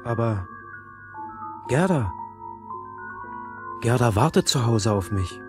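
An actor playing a headstrong young boy in a fairy-tale audio play, with an expressive, youthful manner. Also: medium pitch, slow pace, over music. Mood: hesitant, entranced. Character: young boy, headstrong, scornful, easily enchanted.

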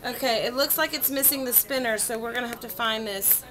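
A woman's voice: fairly high pitch, a little fast, music in the background, rough recording. A woman in her thirties, a mother at a kitchen table at home, patiently walking her young daughter through a board game, reading the rules aloud and counting the moves.

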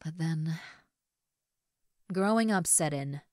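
A woman acting a tender, regretful young substitute teacher in an intimate roleplay monologue, speaking hesitantly with soft sighs on a close mic. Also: sits low, takes it slowly, no echo. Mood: guilty, earnest. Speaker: roleplay audio voice actress. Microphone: close studio mic, dry.

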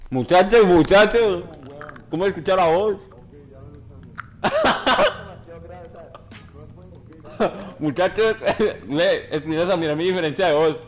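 A man's voice: high-pitched, sing-song, drawn-out words, long pauses. A young man, chatting casually while streaming a game with friends, laughing often and teasing them. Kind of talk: casual gaming chat with friends.

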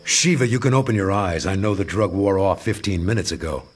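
Stern vigilante hero, male actor, low pitch, grave and controlled, music underneath. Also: expressive, fairly quick, husky. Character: stern, brooding male masked vigilante in adulthood. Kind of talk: motion comic dialogue and inner narration. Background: background music.

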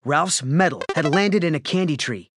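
A man narrating a children's story from a script, with expressive storytelling emphasis. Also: on the high side, normal speed, noisy background.

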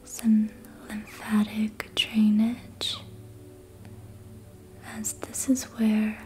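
Slow whispering woman, ASMR. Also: medium pitch, little pitch movement, faint music behind. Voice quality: soft, breathy unvoiced whisper. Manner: gentle, slow, soothing, in short phrases with long pauses. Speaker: host, reiki and facial massage practitioner. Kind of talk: ASMR, slow guided narration of a treatment.

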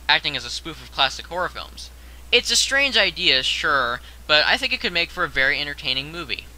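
Young adult male list-show host, very bright forceful voice, slightly high, scripted but conversational delivery. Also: poor audio. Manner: conversational, addresses the viewer with rhetorical questions. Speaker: countdown video host. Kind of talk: scripted top-ten list narration.

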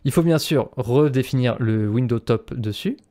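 A man's voice: medium pitch, normal speed, warm tone. A man presenting an instructional screencast as a voice-over. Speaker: tutorial presenter.

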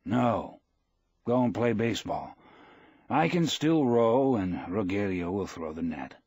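A man's voice: fairly low pitch, animated, unhurried, a little mellow, husky, no room sound, lo-fi sound, heavily acted. Man voicing a proud, weathered old fisherman. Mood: gentle, resigned. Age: older.

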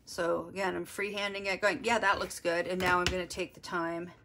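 A woman's voice: lowish voice, little pitch movement, a little fast, noisy background. A woman art teacher giving a step-by-step drawing lesson, explaining as she works and thinking aloud. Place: desk, overhead camera on drawing.

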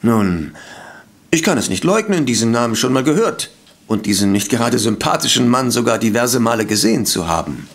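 A man's voice: mid-range voice, big pitch swings, a little fast. An actor playing a polite, defensive male sanatorium director in a detective radio play, speaking formally and hesitantly. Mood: evasive. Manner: formal, hesitant, self-justifying. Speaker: voice actor in audio drama.

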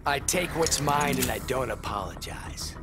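Brash defiant fighter , male actor: lively delivery, game dialogue under heavy music. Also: mid-range voice, moderate pace, natural voice. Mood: cocky. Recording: loud game music behind.

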